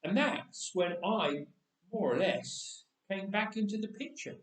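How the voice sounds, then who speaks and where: Wry young man of Bible times, acted by a male reader, high animated voice, conversational monologue in a roomy space. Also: unhurried. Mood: wry, wondering. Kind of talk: dramatic first-person storytelling monologue. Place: church, voice echoing. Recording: roomy church echo, distant microphone.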